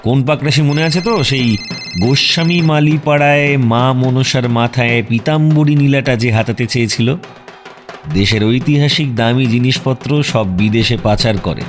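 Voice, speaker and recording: Audio drama detective: male actor as confident, teasing sleuth, measured and knowing, average pitch, light music underneath. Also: natural voice. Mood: determined. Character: shrewd, self-assured male detective, teasing and secretive. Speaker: detective character. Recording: music behind the voice.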